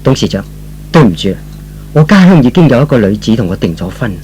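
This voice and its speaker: A man's voice: medium pitch, sing-song, brisk, a little mellow, slight background noise, pitched below the performer's own voice, close to an everyday voice. A man playing an earnest, principled young employee with a hesitant, deferential manner, in old-fashioned radio drama style.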